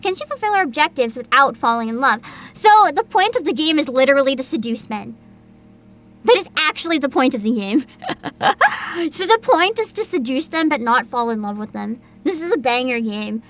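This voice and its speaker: A woman chatting casually as a livestream host, excitable and giggly, with dramatic emphasis. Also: sits high, normal speed.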